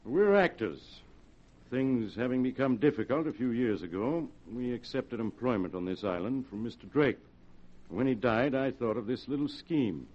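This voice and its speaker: Actor as smug schemer, 1940s radio drama; medium male voice, smooth theatrical delivery, lo-fi period recording. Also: medium pitch, lively intonation, moderate pace, close to an everyday voice. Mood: resigned confession. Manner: theatrical, wry, smooth-talking. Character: sly male villain, actor posing as uncle, smug.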